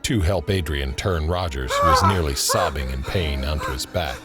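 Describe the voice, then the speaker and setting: A man narrating scene directions in a scripted audio drama, grave and measured. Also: a bass voice, very animated, loud music behind the voice. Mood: measured, suspenseful.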